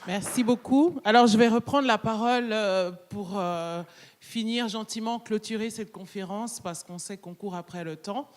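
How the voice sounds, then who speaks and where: A moderator steps in to close a conference, hurrying a little and filling gaps with hesitations. The voice sits at a middle pitch. A long hall reverb trails every phrase. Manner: hurried, hesitant with fillers. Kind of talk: closing remarks.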